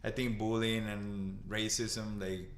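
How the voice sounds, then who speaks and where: A man from Colombia, a podcast guest and father, speaking earnestly and haltingly in casual conversation. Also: on the low side, flat delivery, roomy sound. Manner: earnest, halting, repeats words.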